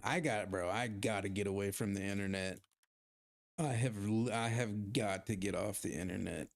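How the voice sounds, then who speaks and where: A man's voice: on the low side, normal speed, gravelly. A man streaming a game, chatting casually with his viewers, rambling and poking fun at himself as he plays. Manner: rambling, self-deprecating, chatting with viewers while playing. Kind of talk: casual gaming livestream chat.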